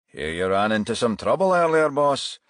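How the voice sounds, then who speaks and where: A man's voice: mid-range voice, big pitch swings, moderate pace, no echo, clean studio sound, voice pushed up, natural voice. A man playing a dutiful, factual police detective in a crime audiobook. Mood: teasing. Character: dutiful male police detective reporting to his boss.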